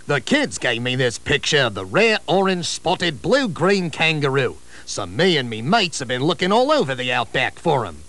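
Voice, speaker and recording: A man's voice: fairly high pitch, sing-song, normal speed, hardly any pauses, pushed and bright. A man voicing a friendly Australian crayon bloke from the outback, laid-back and folksy, with an Australian accent. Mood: easygoing.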